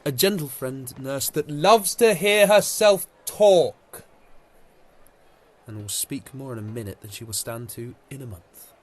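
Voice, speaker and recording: A man acting a young romantic gentleman with a medium-pitched male voice. He is courteous and playful, delivering verse and banter in audio-drama style. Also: animated, measured pace, no echo, close to an everyday voice. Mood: amused courtesy.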